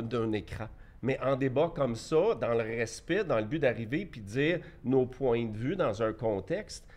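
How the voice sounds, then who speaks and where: Blunt Québécois man in his fifties. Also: mid-range voice. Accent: Québécois French. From Quebec. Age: 50s. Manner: emphatic, restarts often, blunt opinions. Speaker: guest, entrepreneur. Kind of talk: debate interview.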